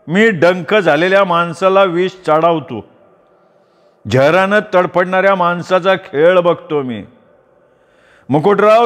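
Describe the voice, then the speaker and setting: Menacing male snake-catcher played by a man, high, mocking, slow, with long hall reverb. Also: fairly bright tone. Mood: threatening. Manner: taunting, slow and drawn-out.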